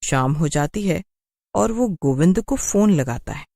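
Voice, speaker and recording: Unhurried, animated female story narrator. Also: sits low, gravelly, no echo, studio quality. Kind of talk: dramatic scripted story narration for a cartoon.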